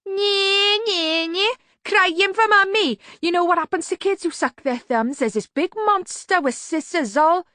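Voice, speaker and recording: A spiteful, childish male thug, put on by a woman, jeers in a storybook. The voice jumps high into a mocking playground sing-song. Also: measured pace, pushed and bright, dry recording, studio quality, exaggerated voice. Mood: childish jeering. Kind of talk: audiobook character voice.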